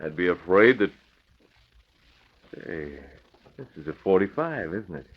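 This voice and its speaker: An actor playing a confident, stern masked vigilante in an old radio drama, clipped and sardonic. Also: male voice, low voice, big pitch swings, a little slow, heavily acted. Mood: menacing, sardonic. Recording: muffled vintage radio recording.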